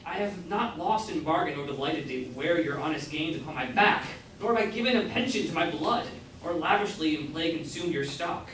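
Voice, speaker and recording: Heard from far off in a very echoey room, a man acts a lovestruck young apprentice in a period stage comedy. He is fairly high-voiced, dutiful and quietly defiant. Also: slight background noise.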